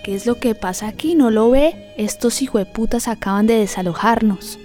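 Radio drama role: indignant, foul-mouthed Colombian evictee, played by a woman, fairly high voice, music underneath. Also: normal speed, warm tone, lightly acted. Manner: blunt, theatrical.

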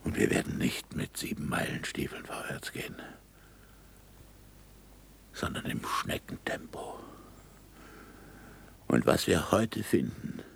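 An actor in a German radio play, playing a stubborn, sardonic aging astronomer with a gravelly, husky voice and deliberate, emphatic delivery. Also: male voice, very deep voice, sing-song, in a whisper, heavily acted. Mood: intense, deliberate. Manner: deliberate, emphatic speech-making.

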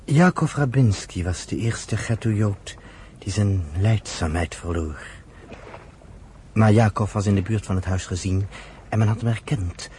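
An actor playing a sombre storyteller in a radio drama, narrating a grim family history in a measured, solemn way. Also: male voice, lowish voice, warm tone, close to an everyday voice. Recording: slightly noisy old radio play recording.

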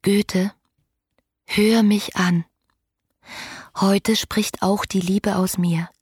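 An actress playing an ardent, yearning romantic woman in a literary radio drama, with fervent, lyrical delivery that can turn hushed. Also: mid-range voice, fairly even intonation, extremely slow, husky, no room sound, clean studio sound, full character voice. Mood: pleading.

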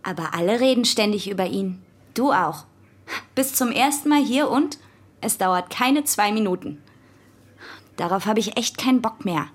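An actress plays a sardonic teenage girl in a radio drama. Her voice sits at a medium pitch, and she speaks in a dry, blunt, challenging way with natural delivery. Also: lively intonation, normal speed, natural voice. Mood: annoyed.

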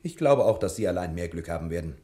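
An actor playing a brisk, exasperated detective in a 1960 German radio crime drama, speaking in an emphatic, clipped way. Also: male voice, sits low, expressive, mellow. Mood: resigned, emphatic.

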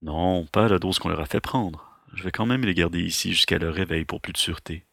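An actor voices a male doctor in a science fiction radio play. His voice is low and gravelly with a bright, firm edge. He speaks in a measured, reassuring, professional way. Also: full character voice. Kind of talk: audio drama dialogue.

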